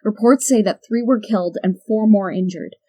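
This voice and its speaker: A woman playing a TV news anchor, reading the news briskly. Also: medium pitch, dark tone, natural voice. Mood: urgent.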